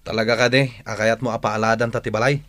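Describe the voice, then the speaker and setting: A man's voice: fairly low pitch, fairly even intonation, fast talker, a bit bright, no room sound. A man playing an irritable, grumbling husband in a radio drama.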